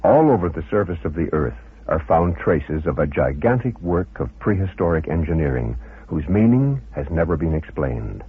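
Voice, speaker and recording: A man reading scripted narration in a formal, measured manner. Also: very deep voice, sing-song, slightly rough. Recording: muffled phone-like old radio recording.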